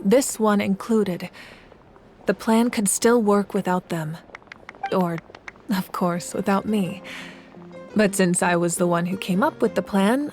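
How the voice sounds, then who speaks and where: A woman voicing an adult female ruler of a land in a video game, speaking in a measured, composed and thoughtful way. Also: medium pitch, darkish tone, a little hiss or hum, close to an everyday voice. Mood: reflective. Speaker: ruling Archon. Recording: game audio with faint music behind.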